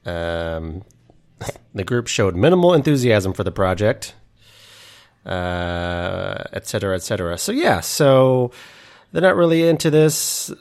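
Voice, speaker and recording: A man co-hosting a casual podcast who speaks quietly and haltingly, with dry humor. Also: very animated. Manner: low-key, hesitant, dry humor. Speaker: podcast co-host.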